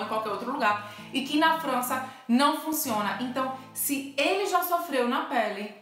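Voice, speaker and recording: A woman's voice: fairly high pitch, fairly bright tone. A Brazilian woman with a Brazilian Portuguese accent, explaining things to viewers as a teacher and co-host in a playful debate. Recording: roomy echo, faint music behind.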